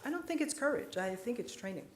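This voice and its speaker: A woman psychiatrist giving a lecture and answering audience questions, earnest and measured, slowing down for emphasis. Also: fairly high pitch, expressive, slight background noise. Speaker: psychiatrist speaker. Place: lecture hall. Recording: echoey lecture hall.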